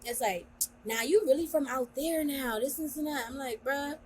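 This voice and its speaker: A young woman with a slightly high voice talks bluntly in slang, putting on voices for people she quotes. Faint background noise comes through the virtual call. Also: moderate pace. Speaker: podcast co-host. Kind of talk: casual chat.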